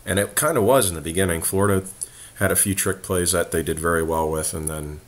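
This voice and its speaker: Hoarse, very deep-voiced man. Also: a little fast. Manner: laid-back, joking banter. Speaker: podcast host. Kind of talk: casual sports chat.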